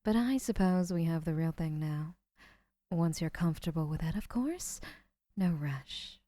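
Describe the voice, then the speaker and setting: An actress playing a gentle, obsessive young yandere woman in an audio roleplay, speaking in soft, hushed murmurs. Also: deep voice, expressive, a little slow, dry recording.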